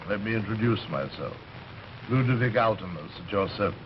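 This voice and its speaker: A man's voice: lowish voice, lively intonation, a little fast, lightly acted. A man playing a smug, urbane, sinister fat villain with pompous, mocking politeness in an old-time radio drama. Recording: noisy, muffled vintage radio recording.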